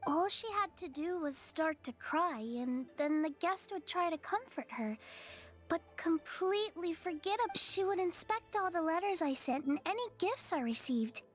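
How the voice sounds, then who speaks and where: A frail young girl from a video game, speaking in a very high, small voice. The manner is hesitant, polite and vulnerable, with faint music underneath. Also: lively intonation, moderate pace. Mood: subdued, earnest. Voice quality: small, childlike. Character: frail, timid young girl, brave survivor of captivity.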